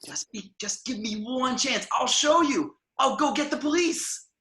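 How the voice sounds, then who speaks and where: A man playing an eager, pushy, whiny boy superhero fan, excitable and pleading, reading from a script in an echoey room. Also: extremely high pitch, measured pace, a loud crowd. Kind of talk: online table read of a film script.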